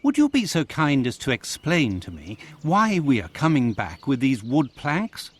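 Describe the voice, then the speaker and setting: An actor playing an exasperated, proper English gentleman sidekick in a video game, with a British accent and politely incredulous complaints. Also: mid-range voice, wildly swooping pitch, unhurried, husky, exaggerated voice. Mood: puzzled, exasperated. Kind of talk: video game cutscene acting.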